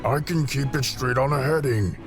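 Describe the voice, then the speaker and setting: A man playing a gruff, blunt half-ogre ex-pirate in a fantasy audio drama with music behind. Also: medium pitch, normal speed, close to an everyday voice. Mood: gruff assurance. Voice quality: grunting, gruff.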